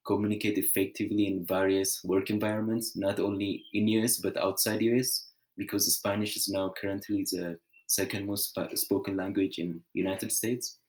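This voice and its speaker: A young man from Ethiopia, giving an earnest, measured prepared presentation with small restarts. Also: fairly low pitch, darkish tone, poor audio.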